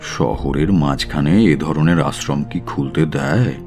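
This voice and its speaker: A man's voice: extremely low pitch, slow pace, a little mellow, soft background music, heavily acted. A man playing a condescending, matter-of-fact doctor in an audio drama. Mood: dismissive.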